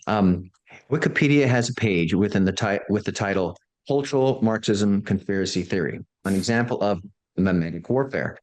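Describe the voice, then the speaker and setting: A man's voice: low voice, a little mellow. A man hosting a discussion and reading out questions informally, hesitant and often stumbling over his words. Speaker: host and moderator.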